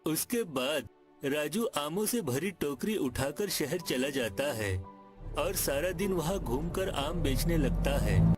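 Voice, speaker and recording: A man's voice: a little high, expressive, slow, music in the background. A man narrating a scripted children's story.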